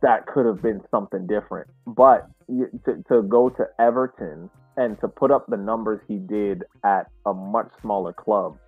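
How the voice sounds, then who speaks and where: Man, lowish voice, casual podcast host, halting reflective delivery, dull dry recording, faint music behind. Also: husky. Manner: halting, repeats words while reflecting. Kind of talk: casual sports discussion. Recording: muffled, roomy sound.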